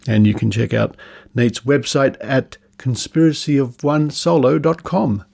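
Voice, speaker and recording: A man's voice: mid-range voice, steady tone, measured pace, gravelly, a little mellow. An Australian man hosting a podcast, introducing segments and interviewing in a chatty, informal way. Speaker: podcast host.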